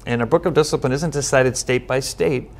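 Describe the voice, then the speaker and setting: A middle-aged man from Minnesota, a United Methodist pastor, giving steady, earnest answers in a studio interview, with frequent "um" fillers. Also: medium pitch, brisk, vocal fry. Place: studio set with bookshelf backdrop, seated at table.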